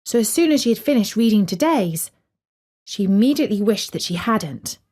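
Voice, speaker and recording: A woman's voice: mid-range voice, animated, moderate pace, a little mellow. A woman storyteller reading a scripted story for children.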